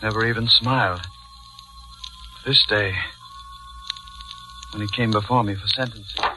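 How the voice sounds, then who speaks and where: A man's voice: on the low side, steady tone, rough recording. An actor playing a haunted judge who narrates his dread in brooding, dramatic 1940s radio suspense style. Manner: slow, brooding, dramatic delivery. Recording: 1940s radio recording with loud music.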